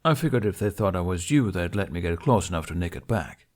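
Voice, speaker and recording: A gruff male rabbit warrior, played by a man, grumbles through a fantasy tale. He is sardonic and easily exasperated, delivering his retorts drily. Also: low voice, expressive, quick pace, darkish tone. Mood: smug.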